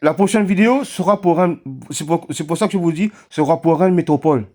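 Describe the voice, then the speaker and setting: A man chatting casually at home, telling a story in a halting, hesitant way. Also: a little high, a little mellow. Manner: hesitant, halting, many fillers. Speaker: vlogger.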